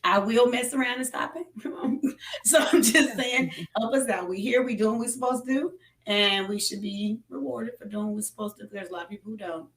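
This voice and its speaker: A woman's voice: on the high side, brisk. A woman with an American accent chatting casually as a podcast host. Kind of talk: casual chat. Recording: roomy, echoey sound.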